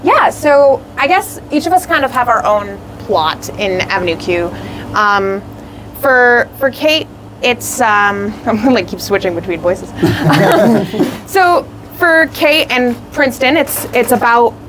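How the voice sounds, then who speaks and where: A young woman who is a puppeteer and actor, answering interview questions in an expressive, rambling way with lots of fillers. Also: on the high side, takes it slowly, noisy background. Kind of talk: casual interview.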